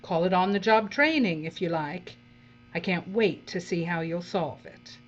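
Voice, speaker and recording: A woman's voice: lowish voice, faint music behind, natural voice. Woman playing a coolly condescending dystopian overseer. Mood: withering condescension. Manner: measured, patronizing, dry. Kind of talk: dark dystopian audio drama acting. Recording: dull audio with faint mains hum.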